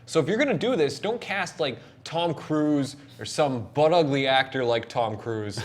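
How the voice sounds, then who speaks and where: A man's voice: on the high side, lively intonation, moderate pace. A man in a casual group discussion, talking in an opinionated, emphatic way. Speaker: show panelist. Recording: noticeable background room noise.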